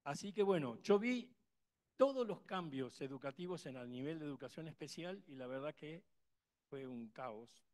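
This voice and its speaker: Argentine guy going off at a conference q&a, kinda high voice, loud then trailing off. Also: animated, moderate pace. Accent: Argentine Spanish. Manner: emphatic, rambling, many restarts and fillers. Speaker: conference attendee asking a question. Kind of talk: impassioned audience remark.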